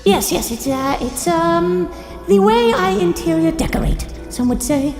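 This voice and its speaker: A woman's voice: very high-pitched, lively intonation, measured pace, vocal fry, darkish tone, heavily acted. A woman voicing a coy, gossipy, lonely Medusa in improvised tabletop roleplay, with a theatrical, hesitant, giggly manner. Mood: nervous, needy. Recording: echoey hall, music underneath.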